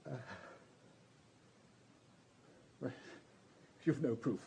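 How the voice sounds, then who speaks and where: An actor playing a nervous, cornered gentleman suspect in a radio drama, with a husky voice and a faltering, formal, protesting manner. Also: mid-range voice, animated, drawn-out words, heavily acted. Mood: rattled.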